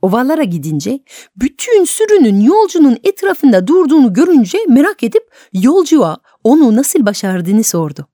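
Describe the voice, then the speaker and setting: Mellow, animated woman storyteller. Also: a little high, moderate pace, no echo, studio quality. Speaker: storyteller on a radio show for adults. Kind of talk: expressive folk tale narration.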